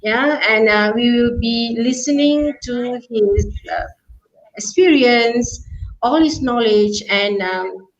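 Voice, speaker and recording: Woman hosting an online webinar on a video call, kinda high voice, lots of "right?" and "yeah?", muffled audio. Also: takes it slowly. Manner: filler-heavy, often ends with "yeah?" and "right?". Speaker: webinar moderator.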